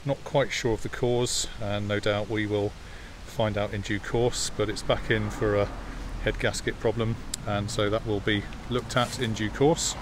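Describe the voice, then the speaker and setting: A man giving a relaxed, hesitant walk-around commentary in an outdoor car park, filmed on a phone. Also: on the low side, unhurried, few pauses. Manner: relaxed, hesitant with many fillers. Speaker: classic car vlogger. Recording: outdoor phone video with clear background noise. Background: jet washer and outdoor noise.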